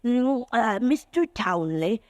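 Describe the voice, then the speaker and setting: An actor playing a soft-spoken, menacing man who plans people's retribution, in 1940s radio drama style, silky and hesitant with little giggles. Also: extremely high pitch, expressive, slow, no room sound, muffled recording, heavily acted. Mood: genial. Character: creepy polite male retribution agent, menacing.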